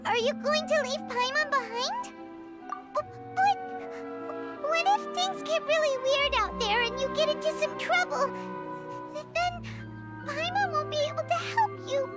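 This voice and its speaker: Very high, squeaky fairy companion. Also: female voice, measured pace. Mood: anxious, pleading. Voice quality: squeaky, childlike. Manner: refers to self in third person, emotional. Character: small, excitable, childlike floating fairy companion, female. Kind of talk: animated video game voice acting. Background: background music.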